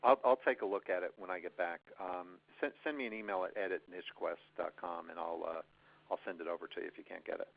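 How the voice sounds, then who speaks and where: Gravelly man on a tinny phone line. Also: low voice, fairly even intonation, fast talker, heavy background noise. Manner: rambling, many restarts and fillers. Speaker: guest presenter, marketing expert. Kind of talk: unscripted webinar Q&A advice.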